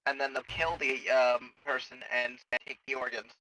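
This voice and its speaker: Man playing a very sharp, blunt sci-fi officer. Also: mid-range voice, flat delivery, natural voice, rough recording. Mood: explaining. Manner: matter-of-fact, halting explanations. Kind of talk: improvised sci-fi game roleplay.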